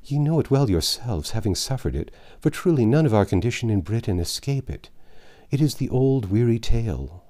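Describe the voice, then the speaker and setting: A man's voice: medium pitch, lively intonation, moderate pace, mellow, lightly acted. A man reading an audiobook as a grieving, starving peasant mother, telling of hardship in impassioned, archaic speech. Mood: weary sorrow. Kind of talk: dramatic audiobook character reading.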